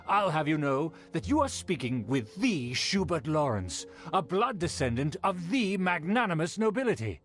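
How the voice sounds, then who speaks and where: A haughty game aristocrat, lecturing in a pompous, formal manner. The voice sits fairly high and swings theatrically up and down, full of disdain, with music playing clearly behind it. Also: male voice. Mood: haughty, scolding. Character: haughty, disdainful male aristocrat of a fallen noble clan. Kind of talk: theatrical video game voice acting.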